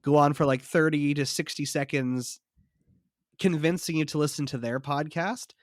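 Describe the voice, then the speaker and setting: A man's voice: a little high, normal speed, dry recording, studio quality. Stuffy-nosed man chatting on a podcast. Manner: chatty, shares trivia, self-deprecating. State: stuffy from a cold. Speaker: podcast co-host. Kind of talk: casual chat.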